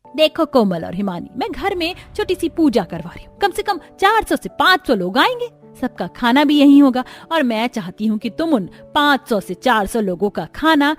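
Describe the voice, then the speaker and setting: A woman voicing a bossy, demanding middle-aged mother-in-law in an animated family story, brisk and dismissive. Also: high-pitched, soft background music. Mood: insistent.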